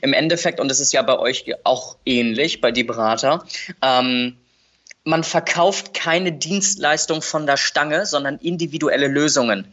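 A man's voice: medium pitch, moderate pace, bright, forceful tone. A young man around nineteen co-hosting a podcast in casual chat, confident and teasing. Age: young adult. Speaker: podcast co-host. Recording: slightly dull lo-fi sound.

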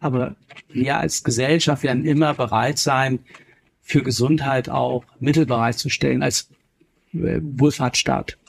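A man with plenty of fry in his voice, speaking as a podcast guest from northern Germany. He explains his points at length, measured and earnest, in an ordinary male register. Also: mid-range voice, fairly even intonation. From Kiel, northern Germany. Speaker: interviewed guest, healthcare executive and author.

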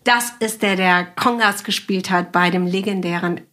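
A woman's voice: fairly low pitch, normal speed, bright, forceful tone. A woman hosting a casual interview, chatty and colloquial, with a playful teasing manner. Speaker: podcast host.

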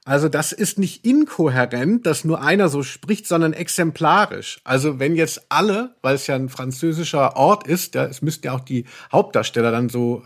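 A man co-hosting a podcast in a casual, ironic discussion, explaining things in a mock-erudite way. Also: a little high. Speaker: podcast co-host, pop journalist.

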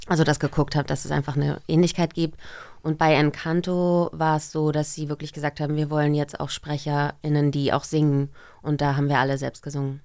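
A woman in her thirties talks easily and warmly about her voice work as an interview guest. Her voice is low with a gravelly edge. The sound is dry and close. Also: little pitch movement, brisk, no room sound. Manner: friendly, chatty, laughs easily. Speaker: voice actress, guest. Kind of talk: casual interview.

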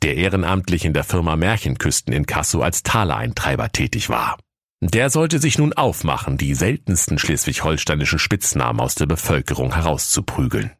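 A man's voice: deep voice, no echo, studio quality. A man narrating a scripted comic fairy tale as a mock-solemn storyteller.

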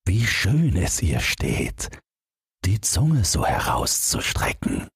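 A man's voice: low voice, huge pitch swings, slow pace, slightly rough, no room sound, studio quality, voice pushed up, exaggerated voice. A man voicing a naive, yearning snowman in a fairy tale. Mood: lovesick.

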